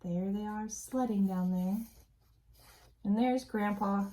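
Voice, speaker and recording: A woman's voice: mid-range voice, takes it slowly. A woman reading a children's story aloud expressively, as an educator addressing young listeners. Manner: animated storytelling with explanatory asides and questions to young listeners. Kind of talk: expressive picture-book read-aloud.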